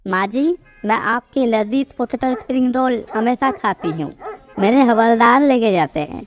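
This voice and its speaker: A woman plays a confident young policewoman from a moral-story cartoon. Her voice sits a little high, and she speaks politely and with assurance, with music faintly present behind her. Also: normal speed, lightly acted. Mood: polite, friendly. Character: confident, helpful young female police officer.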